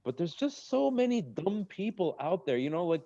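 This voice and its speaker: A male comedian speaks with a dark, mellow tone, sliding from easy chatter into fired-up rants. The audio is a bit dull and lo-fi, as from an online call. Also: sits high, normal speed. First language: English. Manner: animated, rambling storyteller with rising rants. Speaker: stand-up comedian guest. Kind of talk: conversational interview. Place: in Egypt.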